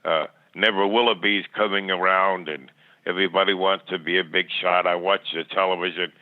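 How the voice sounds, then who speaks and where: A man's voice: sits low, steady tone, brisk, no room sound. Older man on a tinny phone line. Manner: rambling, plainspoken, stammering repetitions. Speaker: podcast guest. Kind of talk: reminiscing in interview.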